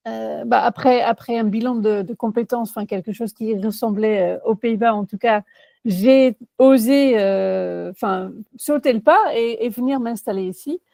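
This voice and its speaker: A woman's voice: a little high, normal speed, vocal fry, warm tone, no room sound. A woman in her forties from the Netherlands with a Dutch accent, answering interview questions as a career coach, hesitant with many fillers and restarts.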